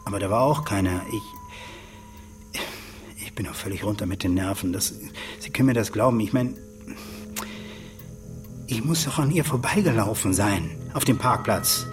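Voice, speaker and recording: A man's voice: lowish voice, normal speed, long pauses, over music, close to an everyday voice. An actor as a pompous middle-aged male politician. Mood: rattled. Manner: pompous, declamatory. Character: self-important married male nationalist politician, combative and aggrieved. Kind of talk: German radio drama acting.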